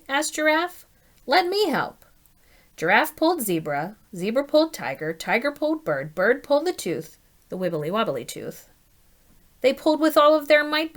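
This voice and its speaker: A woman reading a children's story aloud as a teacher, in an animated, deliberate way, putting questions to her listeners. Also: medium pitch.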